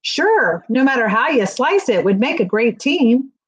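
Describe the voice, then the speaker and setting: A woman's voice: on the high side, sing-song, a little slow, husky, heavily acted. A woman voicing an earnest, nervous male potato racer from a children's storybook, in a plain way. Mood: surprised, agreeable. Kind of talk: storybook character voice.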